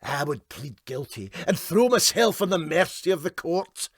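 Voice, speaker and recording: A man's voice: on the high side, big pitch swings, normal speed, dry recording, clean studio sound, pitched above the performer's own voice. A man voicing a self-righteous Scottish ship's engineer in a comic story, emphatic and scornful. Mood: needling. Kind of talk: comic storybook character reading.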